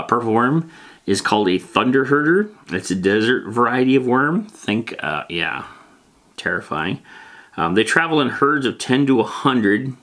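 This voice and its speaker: A man's voice: on the low side, a bit bright. A man hosting a casual, rambling explainer on tabletop game monsters, reading out rules and tossing in informal, emphatic asides.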